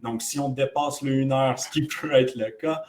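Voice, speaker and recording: A man's voice: medium pitch, fairly even intonation, normal speed, a little mellow. A man moderating a webinar, introducing the speaker and going over housekeeping in a casual way, with many fillers, over a video call.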